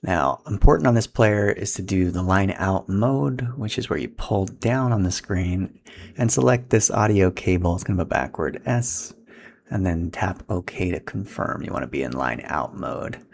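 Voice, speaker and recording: A man's voice: low voice, moderate pace, gravelly. A man giving a casual, step-by-step setup tutorial in an informal, instructional way as he handles equipment.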